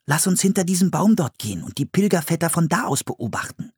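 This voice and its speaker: A man's voice: fairly high pitch, big pitch swings, brisk, darkish tone, slightly rough, no echo, clean studio sound, pitched above the performer's own voice, exaggerated voice. A man voicing a cautious, bookish boy with glasses in an audiobook, earnest and careful. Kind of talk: audiobook character voice.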